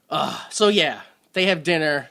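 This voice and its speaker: A man's voice: high voice, gravelly, a bit bright, slightly rough. A man co-hosting a podcast, chatting casually about a film, rambling, swearing freely and acting out lines he quotes. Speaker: podcast co-host. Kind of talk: casual movie review chat.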